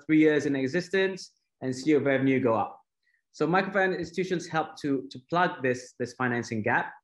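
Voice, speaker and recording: Halting man explaining on a call. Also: medium pitch, dull audio. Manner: halting, many fillers and repeated words. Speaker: fintech founder and panelist. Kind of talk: explanatory panel answer. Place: home office on a video call. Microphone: video call.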